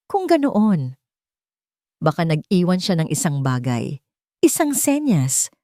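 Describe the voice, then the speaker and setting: A woman narrating a scripted romantic thriller dramatically, in short, deliberate phrases with pauses. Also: on the low side, a little mellow, dry recording, clean studio sound. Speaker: storyteller.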